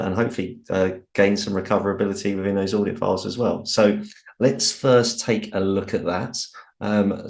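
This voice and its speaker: A British man presenting a software training webinar, informal with frequent ums and restarts, his voice a little croaky. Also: deep voice. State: slightly croaky voice.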